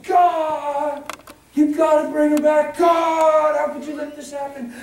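A man acting out a distraught husband who screams high and frantic at God, in an echoey hall from far off. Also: little pitch movement, slow pace, exaggerated voice. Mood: frantic shouting. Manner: shouting, frantic, high-pitched.

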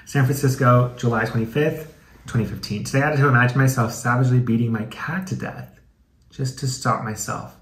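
A man's voice: mid-range voice, steady tone, fairly quick, fairly bright tone, roomy sound. A man doing a casual, comedic dramatic reading with commentary, in a sassy, campy, slangy way with some swearing. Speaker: YouTube host.

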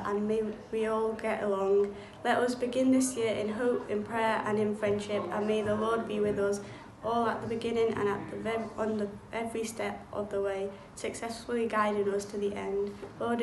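A woman's voice: medium pitch, level tone, normal speed, darkish tone. A teenage female student reading a reflection and prayer aloud. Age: teens. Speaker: student reader. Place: indoor school room, standing before camera. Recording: echoey room, faint background noise.

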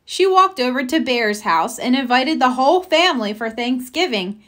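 A woman reading a children's story aloud in an expressive, careful storytelling manner. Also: high-pitched, normal speed. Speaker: storybook reader. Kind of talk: children's read-aloud narration.

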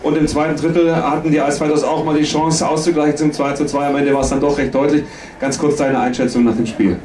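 Male press conference host, thirties, slightly high voice, very roomy sound. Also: flat delivery, fast talker, darkish tone. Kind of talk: hosting a sports press conference. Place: indoor press conference room. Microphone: handheld microphone. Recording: echoey room.

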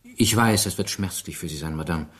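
Low, husky police inspector. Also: male voice. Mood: polite, probing. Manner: formal, measured, hesitant fillers. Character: polite, persistent male police inspector. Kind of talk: German radio crime drama acting.

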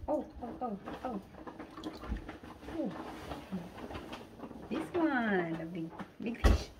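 Excitable Filipino woman vlogging outdoors. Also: a little high, drawn-out words, very noisy. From Philippines. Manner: excitable, exclaiming and laughing often. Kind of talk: casual vlog narration. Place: beach outdoors, later home kitchen.

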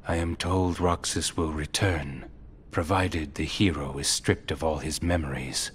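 A man playing a cold, aloof second-in-command of a dark order in a game cutscene, curt, detached and formal. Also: extremely low pitch, fairly even intonation, unhurried, a little hiss or hum. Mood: calculating. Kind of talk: video game cutscene acting.

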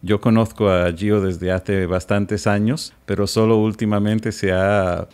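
A man, a native English speaker, hosting a podcast interview in Spanish and explaining topics in a clear, measured way. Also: fairly low pitch, little pitch movement, darkish tone. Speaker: podcast host.

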